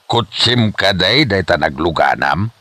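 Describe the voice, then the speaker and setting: A man acting a slow-drawling, suspicious Ilocano father. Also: low-pitched, animated, sharp tone, no echo, heavily acted. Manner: slow, drawn-out questioning. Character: suspicious father receiving his daughter's suitor, drawling. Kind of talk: Ilocano comedy radio drama acting.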